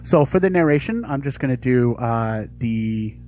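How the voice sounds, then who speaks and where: A man's voice: medium pitch, expressive, normal speed, darkish tone, faint music behind. A man reading out scene descriptions as scripted narration for a voice acting audition. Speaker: voice actor auditioning.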